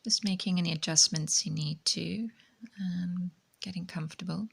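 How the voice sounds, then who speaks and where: A woman leads a guided meditation as a yoga teacher. Her voice is low and very even, with little rise or fall and a creaky edge. She speaks softly and gently, often trailing off into a near-whisper. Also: normal speed. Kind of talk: guided meditation, soft spoken instruction.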